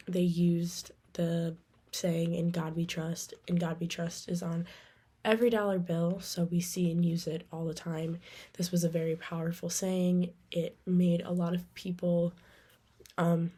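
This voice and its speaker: Mellow, flat-toned woman presenting haltingly. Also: fairly low pitch, breathy edge. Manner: halting, with frequent ums and pauses. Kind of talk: semi-scripted informational presentation.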